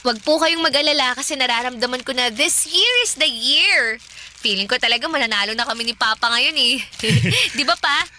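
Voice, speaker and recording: A woman's voice: sits high, animated, moderate pace, sharp tone, a little hiss or hum, full character voice. A woman acting as a cheerful, polite young elder sister and daughter in a radio drama, earnest and upbeat. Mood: upbeat, teasing.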